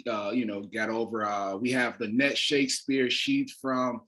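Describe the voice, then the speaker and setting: A man's voice: mid-range voice, steady tone, unhurried, a bit bright. An American man speaking as a guest playwright and director, giving casual promotional talk in an interview.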